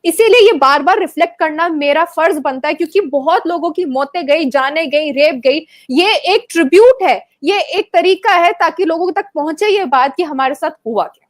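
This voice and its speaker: A woman from Kashmir, a debate panelist, making her case with forceful rhetorical questions and hesitant fillers. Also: very high voice, animated, normal speed, no echo, clean studio sound.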